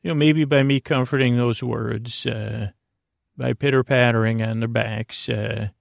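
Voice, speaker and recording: Male sleep-podcast host: heavy vocal fry, very dry sound, soothing and halting, whimsical rambler. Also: mid-range voice, steady tone, no room sound. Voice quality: gravelly, creaky. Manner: halting, meandering, self-interrupting; gentle, soothing, reassuring. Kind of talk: rambling, whimsical bedtime monologue.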